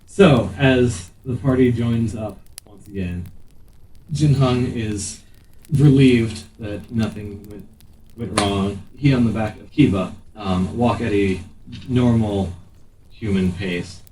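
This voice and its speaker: Low-voiced man running a roomy tabletop game. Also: takes it slowly, warm tone. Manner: joking, sweary. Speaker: game master. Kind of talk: casual tabletop game narration. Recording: roomy, distant mic, faint background noise.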